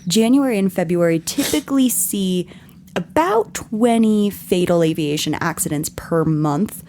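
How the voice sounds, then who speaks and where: A woman hosting a podcast, her voice scratchy and strained from yelling, explaining things in an earnest, scripted way. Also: on the low side, expressive, measured pace. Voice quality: scratchy, husky. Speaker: podcast host.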